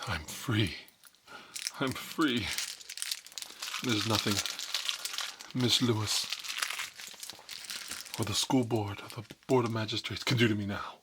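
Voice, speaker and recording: Man playing a slow-talking, unhinged school principal, loud crinkling. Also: on the low side. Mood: defiant, giddy. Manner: melodramatic, muttering to himself, drawn-out. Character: unhinged male school principal obsessed with confiscated candy. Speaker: ASMR performer. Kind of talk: comedic ASMR roleplay, much whispering. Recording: loud crinkling and clicking noise.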